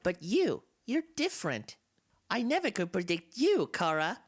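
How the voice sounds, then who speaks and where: A woman's voice: mid-range voice, sing-song, measured pace. A woman voicing a soulless, bored talking flower in a dramatic game fan dub, confiding and theatrical, swinging between wistful and menacing. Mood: weary, intense. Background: game soundtrack music.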